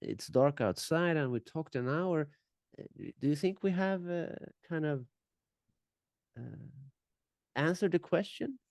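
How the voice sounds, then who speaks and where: A man's voice: on the high side, a little slow, pauses often, darkish tone, no room sound, studio quality. A Finnish man, a native Finnish speaker, co-hosting a reflective conversation and searching for words with frequent restarts. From Finland. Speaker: podcast co-host.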